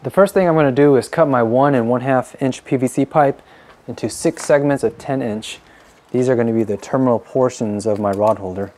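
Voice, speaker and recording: A man narrates a hands-on DIY tutorial in a dark, relaxed voice. His delivery is plain-spoken and instructional, like a host guiding viewers through a build step by step. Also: medium pitch, fairly quick. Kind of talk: scripted how-to narration.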